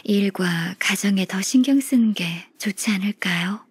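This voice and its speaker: A woman voicing a gentle, earnest young immortal's disciple in a video game, polite, soft-spoken and formal. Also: mid-range voice, normal speed, breathy edge, no room sound. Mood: earnest, admonishing.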